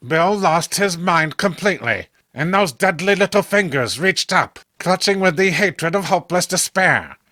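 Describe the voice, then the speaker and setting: A man's voice: high-pitched, lively intonation, normal speed, hardly any pauses, sharp tone, no room sound. A man narrating horror comic stories in a dramatic, scripted storytelling style.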